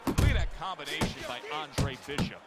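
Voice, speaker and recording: An excitable boxing play-by-play announcer played by a man in a sports video game. His voice sits high and his calls are punchy and emphatic, with loud music running behind him. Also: normal speed, natural voice. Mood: excited. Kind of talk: sports commentary. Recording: game music over commentary.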